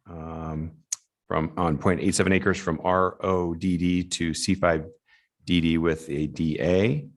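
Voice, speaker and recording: Low-pitched man chairing a formal meeting. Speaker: commission chair running a public hearing. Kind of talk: formal meeting procedure, partly read out.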